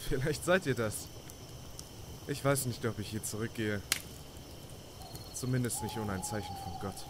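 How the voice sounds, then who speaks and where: A man's voice: lowish voice, moderate pace, heavy background noise, natural voice. A man voicing a troubled, devout young man in a video game fan dub, hesitant and reflective. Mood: wistful. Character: troubled, searching devout young man, soft-hearted and doubting. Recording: night crickets and outdoor ambience behind.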